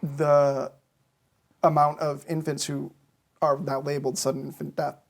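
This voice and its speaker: A man's voice: on the high side, flat delivery, a little slow, vocal fry. A young man from Massachusetts, a medical student answering interview questions with careful, hesitant explanations. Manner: explanatory, hesitant with frequent ums.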